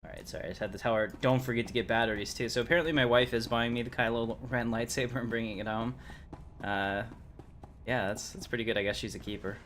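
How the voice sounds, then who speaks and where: A man's voice: medium pitch, fairly even intonation, fast, fairly bright tone, slight background noise. A man streaming a game, talking casually in a rambling, informal way. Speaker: game livestreamer. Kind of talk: casual livestream chat and commentary.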